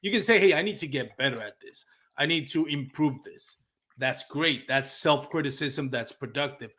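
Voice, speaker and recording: A man with a Puerto Rican accent hosts a relaxed live podcast in a mid-range voice. English is his second language after Spanish, and he thinks aloud, pausing now and then to find a word. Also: mid-range voice. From Puerto Rico. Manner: reflective, searching for words. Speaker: podcast host. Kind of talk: casual live podcast interview.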